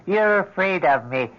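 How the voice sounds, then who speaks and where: An actor playing a small, dwarf-like supernatural messenger in a radio drama, sly, insinuating and cryptic. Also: male voice, high-pitched, full character voice. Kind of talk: old-time radio drama acting. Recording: muffled vintage radio drama recording.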